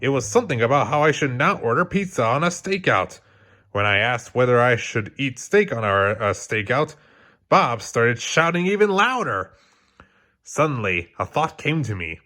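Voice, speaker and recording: A man reading a children's storybook aloud as scripted, expressive narration. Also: medium pitch, moderate pace. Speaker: storybook reader.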